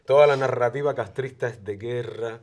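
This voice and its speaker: A man's voice: medium pitch, moderate pace, darkish tone. Outspoken older Cuban man. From Cuba. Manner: emphatic, outspoken. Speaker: podcast guest. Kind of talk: casual conversation.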